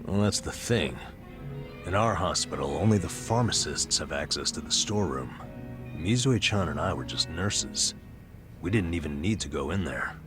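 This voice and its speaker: A man's voice: a bass voice, animated, moderate pace. An actor playing a burned-out, middle-aged former nurse in a video game, telling his story with an American accent and a wry, self-deprecating weariness. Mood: reflective, low-key. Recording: music behind the voice.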